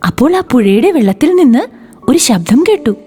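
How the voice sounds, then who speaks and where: Woman, fairly high pitch, lively intonation, scripted kids' story narration, light music behind. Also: normal speed, slightly rough.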